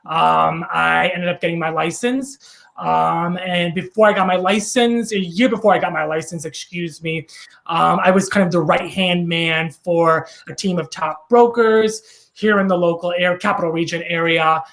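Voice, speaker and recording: A man's voice: high voice, steady tone, moderate pace, vocal fry, fairly bright tone. A man in his thirties with a New York accent, a real estate broker giving casual, rambling interview answers full of ums and restarts. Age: 30s.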